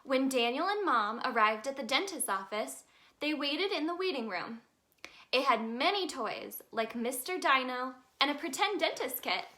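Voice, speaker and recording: High, bright-voiced woman reading to kids. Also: moderate pace. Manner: expressive, sing-song, addressing young children. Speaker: storyteller in character as the Tooth Fairy. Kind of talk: animated children's picture-book read-aloud.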